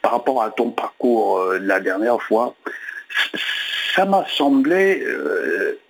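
Male caller on the phone, lowish voice, halting delivery. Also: lively intonation, vocal fry, rough recording. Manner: halting, full of hesitations. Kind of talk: call-in radio question. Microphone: telephone.

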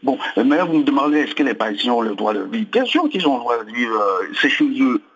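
Husky-voiced hesitant man in radio debate. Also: mid-range voice, big pitch swings, fairly bright tone. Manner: hesitant, halting, many restarts, defensive.

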